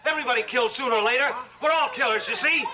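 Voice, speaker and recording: An actor playing a defiant, manic young hoodlum ranting on trial in an old-time radio drama. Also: male voice, very high voice, rapid-fire, noisy background. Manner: agitated, ranting, taunting.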